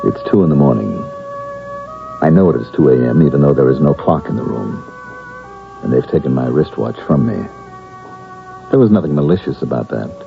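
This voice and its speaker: A troubled, pedantic professor in an old radio play, low and gravelly with expressive swings. The sound is thin and tinny. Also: male voice, over music, heavily acted. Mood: resigned musing. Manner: deliberate, pedantic, musing. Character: confined professor, reflective, precise, troubled. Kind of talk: 1970s radio drama acting. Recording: tinny vintage radio broadcast.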